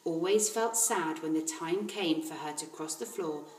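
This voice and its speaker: A woman reading a children's story aloud like a teacher, in an expressive narrating style. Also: sits low, a little slow, reverberant, a little hiss or hum.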